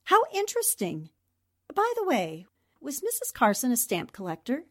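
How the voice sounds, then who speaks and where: A woman plays a poised, inquisitive girl reporter in an old-time radio mystery. Her voice is fairly high and very expressive as she probes politely. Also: normal speed, exaggerated voice. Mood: politely curious. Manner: polite, probing questions.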